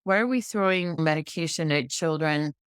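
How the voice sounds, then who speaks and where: A woman's voice: low-pitched, normal speed, clean tone, no echo, studio quality. A middle-aged American woman talking emphatically in a conversational interview, often pressing points with repetition and rhetorical questions. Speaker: podcast guest, pediatrician.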